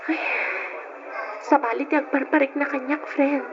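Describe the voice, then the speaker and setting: A woman's voice: sits high, little pitch movement, moderate pace, natural voice. An actress with an Ilocano Filipino accent playing a lovestruck, anxious young working woman in a radio drama. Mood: worried, plaintive. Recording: muffled, noisy old radio drama.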